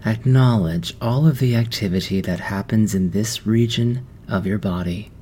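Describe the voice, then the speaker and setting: A man narrating a guided meditation, giving gentle, soothing instructions with long pauses. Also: on the low side, slight background noise. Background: background music.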